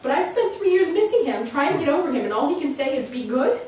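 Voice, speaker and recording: A woman's voice: high voice, very animated, quick pace, darkish tone, voice pushed up. Woman as a hurt, grieving woman in echoey room. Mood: hurt, angry. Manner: emotional, insistent. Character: hurt, grieving young woman client, pleading and indignant. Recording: echoey room, distant mic, faint noise.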